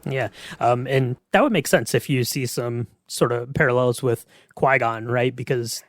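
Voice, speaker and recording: A male podcast host talks in a casual, conversational way. His pitch sits in the middle for a man, and the sound is dry with little room on it. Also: a little slow, no room sound. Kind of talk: casual discussion.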